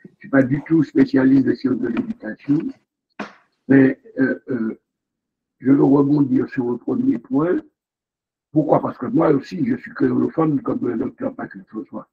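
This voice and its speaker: Male jury member on a tinny call line; gravelly, very raspy, emphatic. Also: medium pitch, normal speed. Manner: emphatic, rhetorical questions. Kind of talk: academic remarks at a thesis defense.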